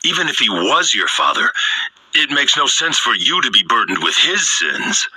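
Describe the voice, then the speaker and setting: A man playing a grieving middle-aged father in a video game, speaking in a halting, solemn, remorseful way. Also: medium pitch, big pitch swings, bright, forceful tone, husky, dry recording, full character voice, rough recording. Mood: sombre, confessing. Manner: halting, solemn, weighted phrasing. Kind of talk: dramatic video game voice acting. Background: background music.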